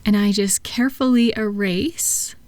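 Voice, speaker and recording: A woman playing a kind, unhurried attendant at a calming clinic, soft-spoken and reassuring in a relaxation roleplay. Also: mid-range voice, no echo, natural voice. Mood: soothing, encouraging.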